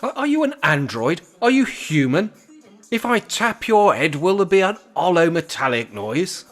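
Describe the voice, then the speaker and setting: An actor playing a cantankerous, sarcastic old care-home resident in an amateur radio play, blunt and full of complaint. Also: male voice, fairly high pitch, very animated, moderate pace, a bit bright, faint music behind. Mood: indignant. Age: older.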